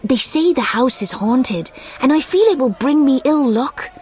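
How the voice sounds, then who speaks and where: A woman's voice: high-pitched, expressive, measured pace, a little mellow. An actress playing a flirtatious, superstitious lady gambler in a video game, with a florid, coquettish and dramatic delivery. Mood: dramatic, troubled.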